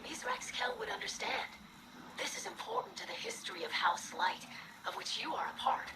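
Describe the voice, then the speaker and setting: A woman voicing an earnest, curious young alien scribe in a video game, breathy and formal in her speech. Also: very high voice, wildly swooping pitch, moderate pace, whispered, full character voice, rough recording. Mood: earnest, insistent. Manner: formal, precise, polite. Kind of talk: video game cutscene acting. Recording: loud music behind the voice. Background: loud music.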